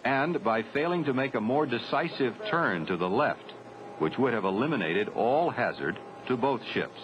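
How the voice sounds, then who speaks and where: An American man delivers grave, formal narration in a measured way, with an expressive range of pitch. The sound is a dull 1970s film soundtrack with faint noise behind it. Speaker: documentary narrator. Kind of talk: scripted narration of a 1970s military training film.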